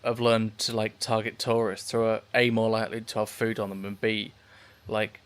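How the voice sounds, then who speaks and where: A British man co-hosting a comedy podcast, joking casually in a wry, halting way. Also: lowish voice, monotone, clear, resonant tone. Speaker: podcast co-host.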